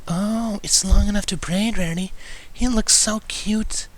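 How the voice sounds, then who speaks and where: A man voicing a shy, sweet young female pony in a soft, breathy, gentle way for a storybook reading. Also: high voice, steady tone, unhurried, voice pushed up, rough recording. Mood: adoring.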